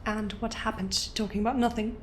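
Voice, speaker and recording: A woman's voice: on the high side, fairly even intonation, a little fast, a little hiss or hum, lightly acted. A woman playing an unrepentant, smugly amused exiled doctor in an audio drama, articulate and theatrical with a sardonic edge. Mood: cool, knowing.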